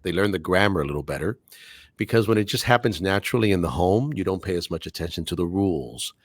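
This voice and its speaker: Deep-voiced articulate man, careful diction. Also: expressive, fast talker, no room sound, studio quality. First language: Spanish. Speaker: English teacher and guest. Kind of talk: casual podcast interview.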